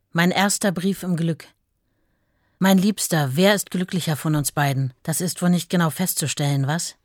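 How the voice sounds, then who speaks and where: An actress playing a tender, adoring fiancée in a radio play, reading love letters aloud in an earnest, simple way. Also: female voice, lowish voice, darkish tone, no echo, clean studio sound, natural voice. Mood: happy, adoring.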